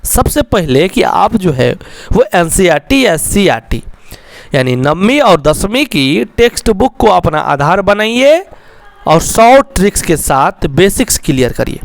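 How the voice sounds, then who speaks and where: Male exam coach, Bihari accent, high voice, lively swooping intonation, instructional and motivational, frequent check-ins. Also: a little slow, gravelly, dry recording. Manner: addresses listeners as companions, with frequent check-in tags. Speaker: exam preparation coach and video host. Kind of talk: instructional explainer with motivational address to viewers.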